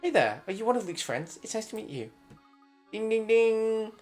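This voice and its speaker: A man doing a high, chummy young guy. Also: big pitch swings, moderate pace, a little mellow, soft background music.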